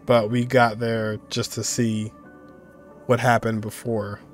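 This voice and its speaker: A man's voice: medium pitch, slow, over music. A young man hosting a game reaction video, talking casually and exclaiming at what he sees, from a desk in an indoor room. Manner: animated, exclaiming and laughing at the screen. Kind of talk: casual live reaction commentary.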